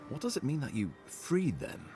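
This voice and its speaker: An actor playing an earnest, weary leader who demands answers in a video game. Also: male voice, medium pitch, huge pitch swings, normal speed, dark tone, slight background noise. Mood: troubled, probing. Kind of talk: video game cutscene dialogue.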